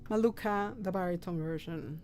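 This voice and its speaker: A woman's voice: lowish voice, moderate pace, over loud music. A woman with a Mexican accent, a native Spanish speaker, chatting casually with viewers as the singer hosting a live stream, candid and full of restarts. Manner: chatty and candid, many restarts and repeated words.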